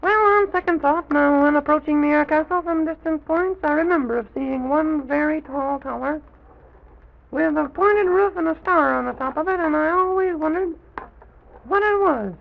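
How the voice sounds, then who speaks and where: A performer playing a quaint, rambling, excitable little old gentleman in an old children's radio serial, with a high, creaky voice. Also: moderate pace. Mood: wondering. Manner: rambling, repetitive, exclaims "goodness me". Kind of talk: 1920s-30s children's radio serial acting. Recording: tinny, noisy old radio recording.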